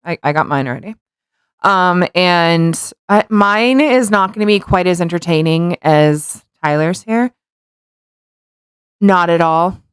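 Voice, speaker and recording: Lady podcast cohost, clear smooth voice, lowish, just chatting, dry close sound. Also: expressive, a little slow, dry recording. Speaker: podcast co-host. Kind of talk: casual chat.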